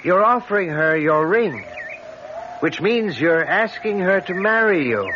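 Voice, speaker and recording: Earnest, uneasy man, higher-pitched. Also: expressive. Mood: anxious. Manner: solemn, earnest, halting. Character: earnest, uneasy, superstitious man, in awe of mystery. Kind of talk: dramatic radio play acting. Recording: muffled, noisy radio drama recording.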